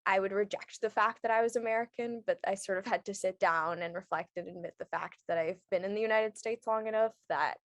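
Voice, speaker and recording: A woman's voice: medium pitch, a little fast, few pauses, no echo, studio quality. A young woman from New York City, a program alumna, reflecting earnestly on her identity and a text. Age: young adult. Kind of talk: reflective personal testimony.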